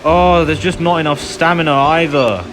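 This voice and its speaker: A man's voice: fairly high pitch, fairly even intonation, normal speed. A man giving casual, self-deprecating and apologetic commentary while playing a video game. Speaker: gamer narrating a playthrough. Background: loud video game music.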